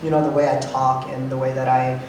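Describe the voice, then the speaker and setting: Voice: medium pitch, flat delivery, a little fast, a little mellow. A nonbinary panelist with a masculine-sounding voice, talking casually in a hesitant way, with many ums and restarts. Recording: roomy, distant mic with noise.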